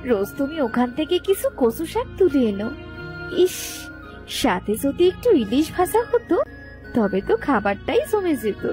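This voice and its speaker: A woman plays a woodcutter's contented village wife in a cartoon. The voice is high and very animated, full of affection, over faint music. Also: normal speed. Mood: pleased, wistful. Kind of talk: Bengali cartoon voice acting.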